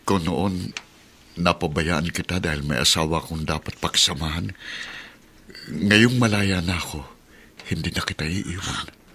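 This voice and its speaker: A man's voice: low voice, unhurried, pauses often, bright, forceful tone, breathy edge, exaggerated voice. A man playing an earnest, remorseful middle-aged father and devoted suitor in a heartfelt Tagalog radio drama. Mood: tender, pleading.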